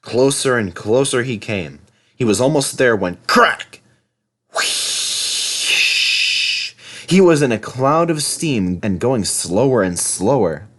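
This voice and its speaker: A man's voice: medium pitch, big pitch swings, takes it slowly, fairly bright tone. A man narrating a children's story as a storybook reader.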